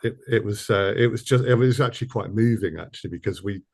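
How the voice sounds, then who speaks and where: A man interviewing as a producer, in a relaxed conversational style with hesitant, searching phrasing. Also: fairly low pitch, fast, gravelly.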